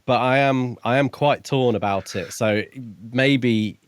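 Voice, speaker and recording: A man co-hosting a podcast, discussing books casually in a measured, orderly way. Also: medium pitch, clean tone, dry recording, studio quality. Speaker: podcast co-host.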